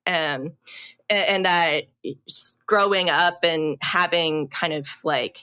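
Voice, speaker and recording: A young adult woman, a podcast guest, telling her own story in a halting, reflective way with many fillers and restarts. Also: on the low side, steady tone, pushed and bright, clean tone. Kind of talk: personal storytelling in a podcast interview.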